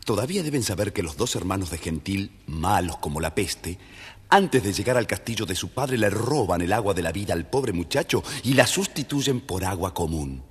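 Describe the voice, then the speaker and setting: Casting: children's tale narrator; slightly deep, raspy, very expressive, hall reverb. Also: male voice, moderate pace. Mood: chatty, questioning. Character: friendly talking storybook, male narrator to children. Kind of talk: dramatic children's story narration. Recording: long reverb.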